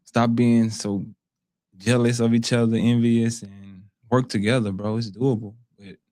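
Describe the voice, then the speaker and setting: A man's voice: mid-range voice, flat delivery, a little slow, mellow, dry recording. Earnest American man, dry close sound. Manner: earnest, slangy, frequently says bro. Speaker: interview guest, content creator. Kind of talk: casual conversational interview.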